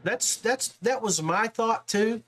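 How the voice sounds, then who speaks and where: A man's voice: high-pitched, slow pace, fairly bright tone. A man with an American accent chatting casually as the host of a podcast. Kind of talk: casual chat.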